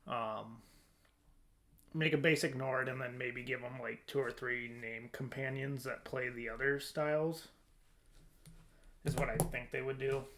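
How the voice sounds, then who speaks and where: A man's voice: medium pitch, steady tone, unhurried, long pauses, fairly bright tone, a little hiss or hum. A man speaking casually and conversationally as a podcast co-host. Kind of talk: casual chat.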